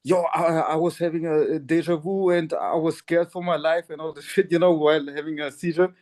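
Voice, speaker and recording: Guy on a podcast, kinda high voice, rambles with lots of likes and you knows. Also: steady tone, brisk, a little mellow. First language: Turkish. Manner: rambling, many fillers and restarts, laughs often. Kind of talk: casual chat.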